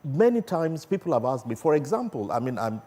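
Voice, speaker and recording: Husky middle-aged Nigerian man, lively intonation. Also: a little high, a little fast, big-room sound. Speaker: guest, barrister. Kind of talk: panel discussion. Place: TV studio.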